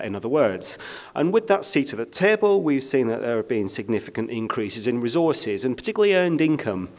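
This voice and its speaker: A British man giving a conference keynote speech on a stage, talking with frequent ums and uhs and a self-deprecating touch. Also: mid-range voice. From United Kingdom. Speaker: keynote speaker. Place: conference stage under lights.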